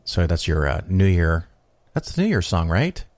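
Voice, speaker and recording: Very deep-voiced guy hosting a podcast, dry close sound, laid back and wry. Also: normal speed, no echo. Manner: relaxed, wry asides. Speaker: podcast host. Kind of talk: casual interview chat.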